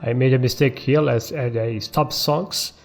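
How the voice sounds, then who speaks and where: Unhurried man teaching code over music. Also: mid-range voice, steady tone, creaky. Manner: checks in often with tag questions like okay and all right. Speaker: coding tutorial host. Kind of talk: casual step-by-step screen-recorded coding tutorial. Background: background music.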